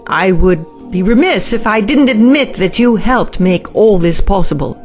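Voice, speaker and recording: A woman playing an excited, ambitious female scientist in a science fiction audio drama, formal and articulate. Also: medium pitch, normal speed, soft background music, natural voice.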